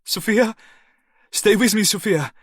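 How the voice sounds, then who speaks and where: A frantic young man fires urgent, shouted questions, his voice strained high above normal, as a male narrator acts him out. He sounds desperate and breathless with fear. The recording is close and dry. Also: unhurried, husky, no echo.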